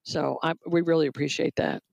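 A man's voice: a little high, steady tone, brisk, creaky, dry recording. A man co-hosting a podcast, speaking earnestly and often repeating a word as he starts a sentence. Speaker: podcast co-host. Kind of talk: casual podcast talk.

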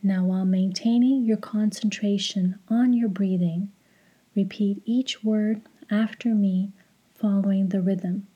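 Hushed, very mellow woman guiding meditation. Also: medium pitch, dull audio. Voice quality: hushed, breathy. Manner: calm, soothing, deliberate. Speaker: meditation guide. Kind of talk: guided meditation, soft slow instruction.

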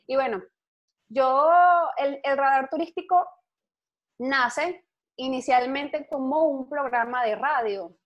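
A young Venezuelan woman with a Venezuelan accent chats as a guest, outgoing and animated as she tells her stories. Her voice sits a little high. The sound is dull and lo-fi. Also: takes it slowly. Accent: Venezuelan Spanish. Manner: outgoing, animated storytelling, laughs easily. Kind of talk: casual interview chat.

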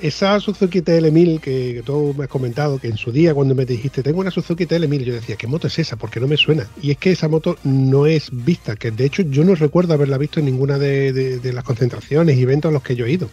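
A man's voice: mid-range voice, quick pace, hardly any pauses, a little mellow, slight background noise. A man hosting an interview, asking curious questions and explaining things in detail. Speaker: interview host. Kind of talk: podcast interview. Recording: slightly dull lo-fi sound.

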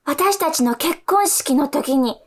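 An actress playing a bossy, excitable young sweetheart with an eager, emphatic manner in a radio comedy drama. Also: female voice, sits high, slow pace. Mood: insistent, excited. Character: bossy, excitable young sweetheart planning their whole future.

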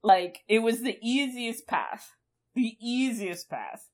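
A woman's voice: fairly high pitch, slow. A young woman co-hosting a podcast, chatting casually in a rambling way, laughing often and swearing freely. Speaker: podcast co-host.

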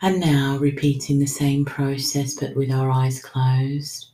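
A woman leading a guided breathing meditation, giving soothing, unhurried instructions that sometimes trail into a whisper. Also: a bass voice, monotone, dark tone. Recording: roomy, echoing sound.

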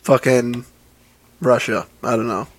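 A man with a raspy, nasal voice that sounds a little sick all the time. He trades crude jokes with his co-hosts in loose podcast chat. The sound is dry and close, with no room around it. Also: medium pitch, steady tone, unhurried, no echo. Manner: joking, crude banter. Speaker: podcast co-host. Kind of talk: casual chat.

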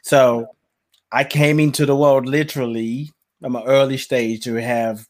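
A man's voice: mid-range voice, flat delivery, measured pace. Reflective man telling his story. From Nigeria. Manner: reflective, laughs often, restarts phrases. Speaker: guest. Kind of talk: personal storytelling in conversation.

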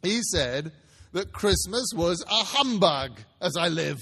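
A man voicing a hearty, good-humoured young Victorian gentleman in a dramatic storybook reading, with exclamatory, emphatic delivery. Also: sits high, expressive, slow, creaky, breathy edge, big-room sound, pitched above the performer's own voice, exaggerated voice. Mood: incredulous amusement.

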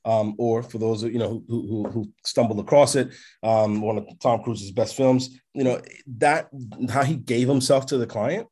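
A man, a podcast guest telling long stories in a relaxed interview. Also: medium pitch, moderate pace, husky. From North America. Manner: expansive storyteller, checks in with "right?". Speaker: podcast guest, sports agent. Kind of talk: casual interview chat.

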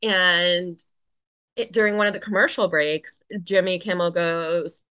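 A bright, forceful woman tells a story as a podcast guest. She talks in a chatty, animated way, full of fillers. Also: lowish voice, a little slow, clean tone, highs cut off. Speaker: guest, Paralympic rower. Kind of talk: casual storytelling chat.

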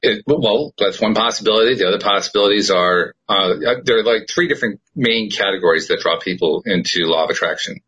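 A man's voice: lowish voice, brisk, hardly any pauses, pushed and bright, husky, rough recording. A middle-aged man, a podcast host, talking earnestly and reflectively in a casual conversation.

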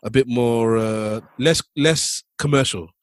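A man's voice: medium pitch, slow pace, no room sound, clean studio sound. A man hosting a podcast, interviewing in a casual, conversational style. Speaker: podcast host.

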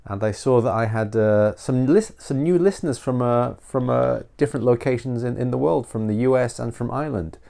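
A man's voice: mid-range voice, a little fast, creaky, hardly any pauses, mellow. A middle-aged man hosting a solo podcast, nasal from a cold, rambling and self-deprecating with frequent restarts. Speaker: podcast host.

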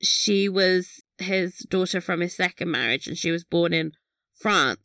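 A woman's voice: fairly low pitch, measured pace, a bit bright, no echo, clean studio sound. A woman co-hosting a podcast, chatting casually about a TV episode, laughing often and swearing offhand. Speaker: podcast co-host. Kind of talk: casual recap chat.

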